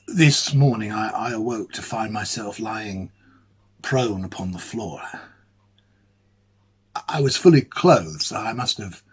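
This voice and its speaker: A man playing an ailing, lonely, fussy bachelor academic in a dramatic ghost-story monologue, musing hesitantly and theatrically in a husky voice. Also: fairly low pitch, exaggerated voice. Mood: worried. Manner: hesitant, musing, theatrical, with murmured asides and swelling emphasis.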